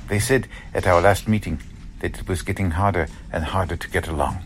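A man acting an anxious, steady middle-aged immigrant shopkeeper in a radio drama. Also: deep voice, a little fast, a little hiss or hum, close to an everyday voice. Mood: worried, resigned.

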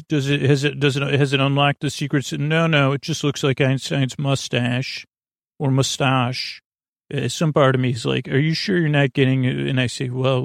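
A man with a creaky voice hosting a sleep podcast in a meandering, hesitant, lulling and self-deprecating monologue full of tangents. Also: medium pitch, no echo, studio quality. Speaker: podcast host.

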